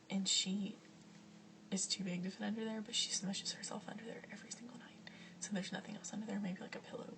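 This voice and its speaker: A young woman whispers through a casual question-and-answer chat in a soft, breathy hush, filling gaps with ums and likes. Her voice sits at a middle pitch and sounds a little away from the mic, with a faint hiss underneath. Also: recorded from a distance. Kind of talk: whispered ASMR Q&A.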